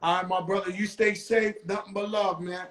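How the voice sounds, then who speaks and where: A man's voice: high voice, flat delivery, normal speed, a bit bright, echoey room. A man with a New York accent hosting a live stream, talking casually in street slang with repeated exclamations.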